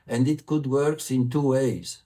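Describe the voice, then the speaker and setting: A man's voice: mid-range voice, fairly even intonation, dark tone. A man from Italy with an Italian accent, giving a formal prerecorded report as a vice president for communications. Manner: formal, measured delivery.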